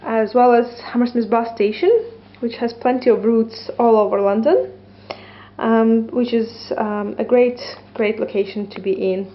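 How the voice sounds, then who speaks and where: High-voiced woman giving a slow apartment tour. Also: fairly even intonation, dull audio. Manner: hesitant, many ums, enthusiastic descriptions. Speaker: property tour presenter. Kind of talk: walkthrough tour narration. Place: small loft studio apartment, indoors. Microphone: camera mic, handheld camera.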